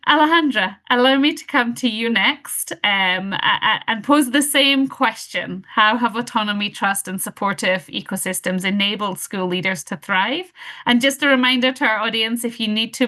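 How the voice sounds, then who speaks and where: A woman's voice: medium pitch, pushed and bright. A woman moderating a panel discussion, summing up reflectively and handing over to the next speaker. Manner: reflective, hedging with "kind of" and "perhaps".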